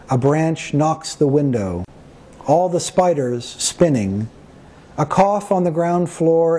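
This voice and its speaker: A man recites his own poetry slowly, in short, deliberate phrases with pauses between them. His voice sits a little high for a man, with a soft, mellow tone and a husky grain. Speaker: poet. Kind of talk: poetry reading of own work.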